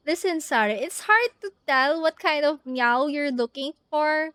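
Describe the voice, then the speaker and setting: A woman's voice: sits high, slow pace, a bit bright. A woman streamer thinking aloud in a casual, giggly way as she plays a video game live.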